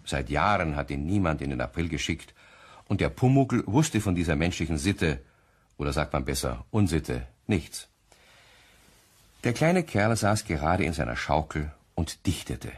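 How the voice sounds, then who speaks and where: A man with a deep, husky voice narrating a children's audio play in a wry storytelling style. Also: very animated, normal speed, heavily acted.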